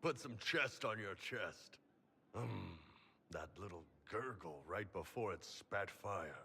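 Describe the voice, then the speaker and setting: Big horned game warrior, low gravelly voice, bright forceful edge, hearty, boisterous, unhurried. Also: male voice, lively intonation, exaggerated voice. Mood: boisterous, relishing. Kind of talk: video game character acting.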